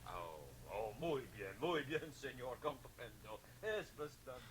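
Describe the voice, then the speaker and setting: A man's voice: mid-range voice, sing-song, a little slow, crowd noise. A man playing a fussy, complaining elderly servant in a radio drama, with a pleading manner. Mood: mollified. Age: older. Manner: plaintive, pleading. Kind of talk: 1980 radio drama acting.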